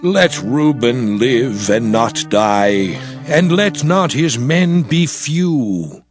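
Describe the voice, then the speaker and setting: An actor playing Moses as a solemn old prophet, declaiming scripture slowly and deliberately in a dramatized reading. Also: male voice, mid-range voice, animated, over music. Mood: reverent. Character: Moses, solemn old male prophet blessing and exhorting his people.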